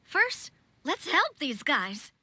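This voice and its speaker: A woman playing a gentle, forgiving young royal ruler in a fantasy video game, composed and courteous as she explains things. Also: sits high, huge pitch swings, slow, no echo, full character voice. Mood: calm, resolute.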